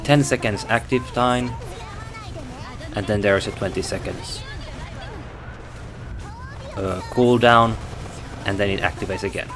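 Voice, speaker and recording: Slow, hesitant man. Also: fairly low pitch. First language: Finnish. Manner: hesitant, thinking aloud, correcting himself. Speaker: game reviewer. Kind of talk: unscripted game review.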